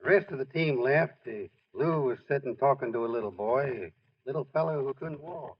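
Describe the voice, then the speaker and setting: An actor playing a folksy friend who narrates a ballplayer's life in colloquial 1940s radio style. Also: male voice, mid-range voice, brisk, husky, natural voice. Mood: reflective. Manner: colloquial, storytelling. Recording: muffled old radio recording.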